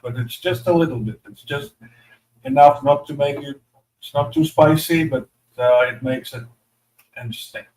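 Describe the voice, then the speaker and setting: A man who runs a crepe restaurant, chatting casually about his menu and pausing often with fillers. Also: mid-range voice, a little mellow, reverberant, far from the mic, rough recording. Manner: hesitant, many uh fillers while describing dishes. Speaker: restaurant owner. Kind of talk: casual chat.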